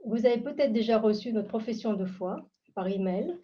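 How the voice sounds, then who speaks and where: A woman giving a measured, formal prepared introduction as moderator on a video call. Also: fairly high pitch, dark tone. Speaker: candidate and event moderator. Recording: muffled lo-fi video call audio.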